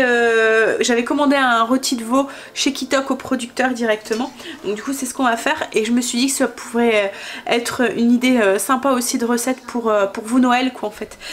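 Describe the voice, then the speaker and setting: A woman's voice: a little high, fairly even intonation, normal speed, a little hiss or hum. A woman in her thirties with a stuffy nose from a cold, chatting casually while cooking at home, hesitant with many fillers. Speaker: family vlogger. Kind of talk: casual cooking vlog chat. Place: home kitchen.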